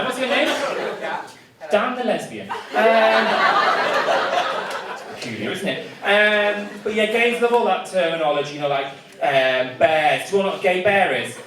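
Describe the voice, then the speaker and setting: Cheeky camp Mancunian comic, lively pitch. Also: male voice. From Manchester, England. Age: 30s. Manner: camp, cheeky, teasing the crowd. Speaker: stand-up comedian. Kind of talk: stand-up comedy set. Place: comedy club stage. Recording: echoey club room, distant, loud audience noise.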